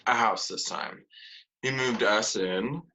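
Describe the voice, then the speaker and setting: Actor as wry young man, sharp bright voice. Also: fairly low pitch, takes it slowly, heavily acted. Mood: explaining. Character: wry young male housemate confiding to camera. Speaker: actor in a scripted table read. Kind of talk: comedy script read aloud. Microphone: video call.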